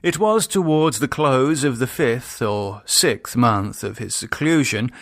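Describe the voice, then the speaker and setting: A man narrates classic horror fiction with a theatrical, measured delivery. His voice sits at a medium pitch and he takes his time over each phrase, very slowly and deliberately. The sound is close and dry, with no room around it. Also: lively intonation, no room sound. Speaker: audiobook narrator. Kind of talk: dramatic scripted narration of a classic horror story.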